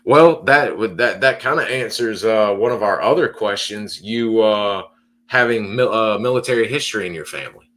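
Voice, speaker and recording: A man hosts a podcast with a somewhat low voice, circling around his questions with lots of halting starts. His manner is easygoing and conversational. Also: a bit bright. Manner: rambling, halting, full of restarts and fillers. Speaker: podcast host. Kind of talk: casual interview hosting.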